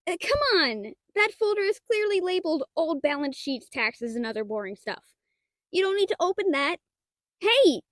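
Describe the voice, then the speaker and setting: A woman's voice: sits high, no room sound, studio quality. A woman playing a shy, nerdy half-dragon librarian in a roleplay audio drama, talking in a hesitant, flustered, stammering way. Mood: panicked protest. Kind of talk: roleplay audio drama, one-sided dialogue.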